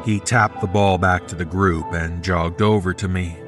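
A man's voice: deep voice, fairly even intonation, moderate pace, over music. A man reading a scripted first-person horror story as its narrator.

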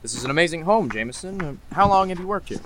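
A man's voice: fairly high pitch, lively intonation, rapid-fire, a crowd in the background, close to an everyday voice, poor audio. A man playing an affable, courteous district attorney in a radio drama, chatty and curious. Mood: curious, friendly. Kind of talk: golden-age radio drama acting.

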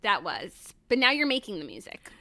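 A woman's voice: medium pitch, big pitch swings, moderate pace, pushed and bright. A woman co-hosting a podcast in casual chat, earnest and enthusiastic. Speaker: podcast co-host.

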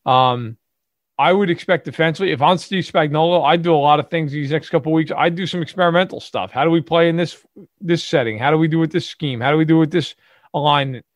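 A man's voice: on the high side, fast talker, no room sound. Emphatic opinionated man, dry close mic. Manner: emphatic, opinionated, lots of fillers and restarts. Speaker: podcast co-host. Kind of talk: casual sports talk. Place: lives in Chicago.

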